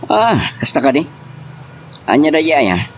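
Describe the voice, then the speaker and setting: A man's voice: a little high, big pitch swings, measured pace, slight background noise. A man playing a cheeky, nosy male workmate in an audio drama, teasing and flirtatious. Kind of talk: Ilocano audio drama acting.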